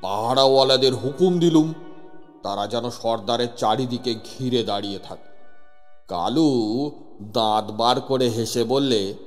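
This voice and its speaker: A man narrating a scripted horror story as a police inspector, theatrical and suspenseful with measured pauses. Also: medium pitch. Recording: long reverb over music bed. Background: music.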